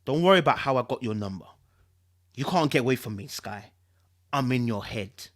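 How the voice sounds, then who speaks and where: A reader voicing a threatening ex-husband on the phone with a deep, husky, hissing voice that breaks into shouting rage. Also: lively intonation, a little fast, close to an everyday voice. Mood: menacing hiss. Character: menacing abusive ex-husband on the phone. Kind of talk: dramatic story reading.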